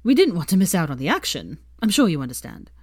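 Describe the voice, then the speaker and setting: Woman reading as a droll, prim young gentleman wizard. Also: on the low side, big pitch swings, quick pace, husky, no echo, studio quality. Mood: polite, cheerful.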